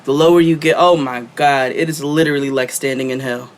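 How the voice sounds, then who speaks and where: A young adult man, co-hosting a casual podcast and telling stories with quick banter. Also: mid-range voice. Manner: animated storytelling, quick banter. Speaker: podcast co-host. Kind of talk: casual chat. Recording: faint background noise.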